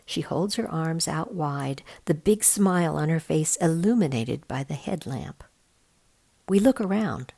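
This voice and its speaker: A woman narrates a children's novel with expressive storytelling. Her voice sits low and creaks at the edges, and the pitch moves around in a lively way. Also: a little slow. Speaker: volunteer storybook reader. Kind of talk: scripted narration, reading a children's novel aloud.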